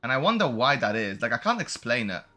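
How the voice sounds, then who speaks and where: A man's voice: mid-range voice, very animated, fast, bright, forceful tone. A man giving casual, unscripted commentary as he plays a video game, musing and thinking aloud. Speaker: streamer playing a video game.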